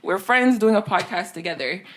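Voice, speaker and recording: A woman's voice: medium pitch, animated, normal speed, a little hiss or hum. A woman in her twenties with a Jamaican accent, co-hosting a casual podcast chat from a small room studio on a desk mic. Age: 20s. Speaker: podcast co-host.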